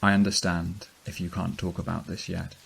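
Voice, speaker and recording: A man acts as a somber horror podcast narrator, deep and creaky. He recounts a pleading speech deliberately and with little inflection. Faint hiss and rain sit behind him. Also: little pitch movement. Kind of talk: audio drama narration.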